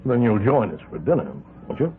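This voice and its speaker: An actor playing an eerily hospitable host of a remote house in a radio drama, hesitant and politely insinuating. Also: male voice, mid-range voice, big pitch swings, brisk, lightly acted. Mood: sly hospitality. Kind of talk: old-time radio drama acting. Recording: tinny, phone-like old radio recording.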